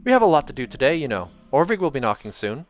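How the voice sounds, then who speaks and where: A hoarse man voicing a playful young woman in love, with a bright, teasing delivery. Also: mid-range voice, big pitch swings, quick pace, highs cut off, close to an everyday voice.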